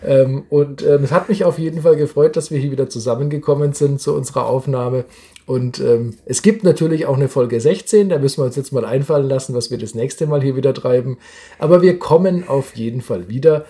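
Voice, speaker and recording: A man's voice: mid-range voice, brisk, dark tone. A man hosting a podcast in a casual, chatty style. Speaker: podcast host.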